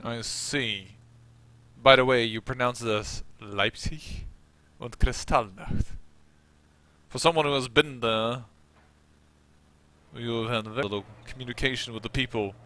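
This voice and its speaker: A man with a Dutch accent reading a video game's dialogue choices as a cool, sardonic male vampire protagonist, in a dry and lowered voice. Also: unhurried, long pauses, pushed and bright, no room sound.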